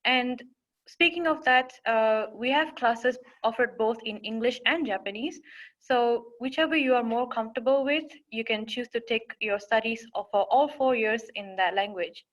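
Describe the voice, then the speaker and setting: A young woman from Singapore presents admissions information in a webinar. Her voice sits a little high. She talks in a steady, informative way with small fillers and restarts. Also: moderate pace, highs cut off. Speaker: admissions counselor.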